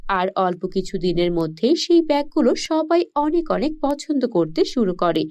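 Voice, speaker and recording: High, mellow woman storyteller. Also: big pitch swings, moderate pace, lo-fi sound. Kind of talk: scripted cartoon story narration.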